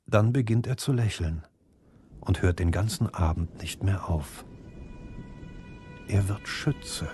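A man's voice: low voice, takes it slowly, long pauses, music in the background. A man narrating a scripted fantasy audiobook in the present tense.

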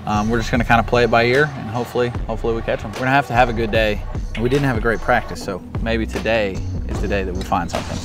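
A man's voice: fairly low pitch, fairly even intonation, rapid-fire, music in the background. Young man narrating his fishing day. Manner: casual, talks to himself and the camera crew between casts. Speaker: professional bass angler. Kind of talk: running commentary while fishing. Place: outdoors on a bass boat on an open lake.

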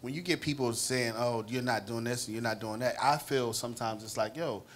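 Animated middle-aged man, faint room hum. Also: medium pitch, fairly quick. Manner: animated, repeats words when excited. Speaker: playwright guest. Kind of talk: casual panel interview. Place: restaurant with wood-paneled wall. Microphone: handheld mic.

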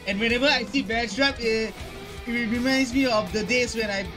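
High-voiced young man reacting casually. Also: normal speed, over music. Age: 20s. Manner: slangy, exclamatory, repeats his phrases. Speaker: reaction video host, gamer. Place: small bedroom, indoors.

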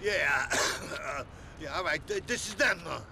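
High, bright, stammering nervous cook. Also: male voice, lively intonation, vocal fry, full character voice. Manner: hesitant, stammering, halting. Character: nervous, stammering ship's cook selling smuggled diamonds. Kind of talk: video game cutscene acting.

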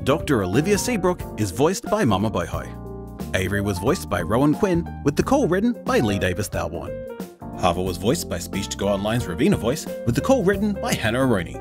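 A man's voice: lowish voice, wildly swooping pitch, brisk. A man reading scripted end credits as a show announcer. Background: guitar music.

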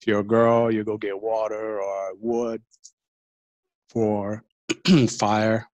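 Male guest from Ethiopia, low flat voice, dry close sound, relaxed storyteller. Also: slow pace, no echo, lo-fi sound. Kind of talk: casual storytelling on a call.

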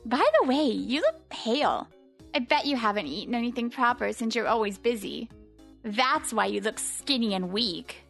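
A woman's voice: a little high, moderate pace, vocal fry, a bit bright. A woman voicing a bossy but caring teenage delinquent girl in a manga comic dub, casual, blunt and teasing. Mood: breezy teasing. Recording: background music under the voice.

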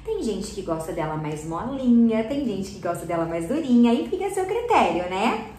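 A woman's voice: medium pitch, very animated, normal speed, mellow. A woman in her thirties hosting a slime-making tutorial for kids, chatty and encouraging, often checking in with her viewers as she mixes. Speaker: slime channel host. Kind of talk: hands-on craft tutorial for kids. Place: indoor studio corner packed with colorful slime products. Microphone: fixed camera at table, mid shot. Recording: roomy indoor echo.

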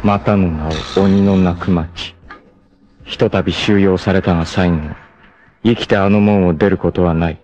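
An actor playing a proud, cool martial arts warrior in a 1980s anime, with a sardonic, dramatic delivery. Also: male voice, deep voice, steady tone, normal speed, a little mellow, highs cut off, close to an everyday voice. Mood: grave, ominous. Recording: noisy 1980s anime soundtrack.

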